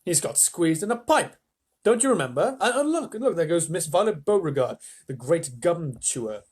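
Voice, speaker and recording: A reader acts out an eccentric old factory owner from a children's storybook. He is emphatic and brisk, with an animated range and a husky edge to his voice. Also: medium pitch. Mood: gleeful, brisk. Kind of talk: storybook reading.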